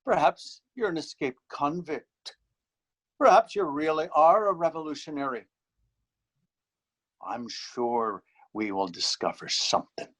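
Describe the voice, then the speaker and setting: A man's voice: fairly high pitch, lively intonation, a little slow, a bit bright. Man acting a stern, menacing policeman. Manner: stern, threatening. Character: gruff, menacing police officer, proud and easily insulted. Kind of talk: readers theater stage acting.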